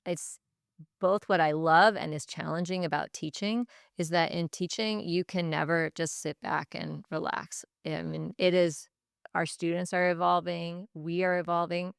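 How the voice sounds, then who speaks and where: A woman with a low voice that stays clear and resonant. She talks reflectively, like an educator being interviewed, working through her thoughts in repeated, restarted phrases. The sound is close and dry. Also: fairly even intonation, a little slow, no room sound. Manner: thoughtful, stop-and-start phrasing with repetition. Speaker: teacher and language department head, podcast guest.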